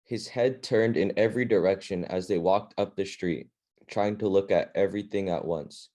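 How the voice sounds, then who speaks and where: Guy reading a kids fantasy book out loud to a group, deep-ish mellow voice, nothing fancy. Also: moderate pace. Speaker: reading club leader. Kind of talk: reading a children's fantasy novel aloud to a group.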